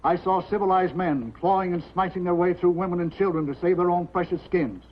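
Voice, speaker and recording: Man acting a high-pitched, stern newspaper boss. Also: fairly quick, lightly acted. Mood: grave. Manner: firm, admonishing. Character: stern, practical male newspaper editor and boss. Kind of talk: 1950s American television drama acting. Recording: muffled, noisy 1950s television soundtrack.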